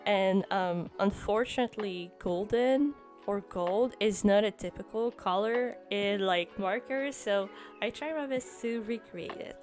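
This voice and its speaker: A woman's voice: medium pitch, unhurried. French Canadian woman narrating over music. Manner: relaxed, rambling, many ums and uhs. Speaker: journaling YouTuber. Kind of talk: voice-over narration of a drawing session. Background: background music.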